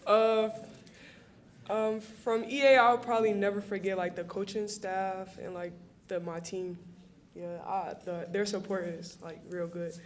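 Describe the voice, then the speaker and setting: A young woman from the American Midwest giving a halting, slangy, informal banquet speech as a college athlete. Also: mid-range voice, fairly even intonation. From Chicago, USA. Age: young adult.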